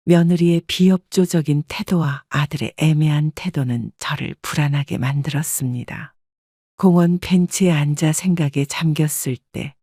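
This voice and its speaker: Older woman narrator, calm measured first-person storytelling, dry close sound. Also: deep voice, dry recording.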